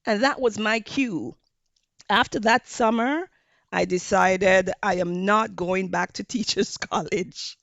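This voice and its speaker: A woman from Jamaica with a Jamaican accent, a hospitality professor giving measured interview answers with frequent fillers. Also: mid-range voice, creaky, fairly bright tone, dry recording.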